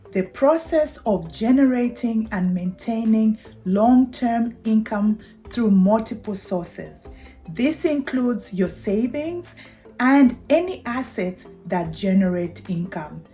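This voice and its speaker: Middle-aged Zambian woman, channel host, warm dark timbre, structured deliberate delivery over light background music. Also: mid-range voice. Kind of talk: prepared explainer talk to camera.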